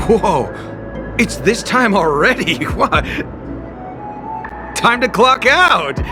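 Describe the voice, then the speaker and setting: A man voicing a weary, flustered security underling in an anime game, stubborn but pleading in a theatrical way. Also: medium pitch. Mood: elated. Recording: loud game music behind. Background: loud game music.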